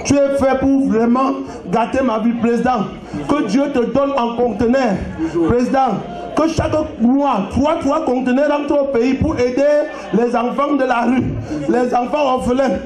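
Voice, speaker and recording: A man from Cameroon doing an animated comic stage routine, shouting jokes with bits of broken English. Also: very high voice, a little slow. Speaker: stand-up comedian. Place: event hall at a gala. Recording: echoey hall with loud crowd.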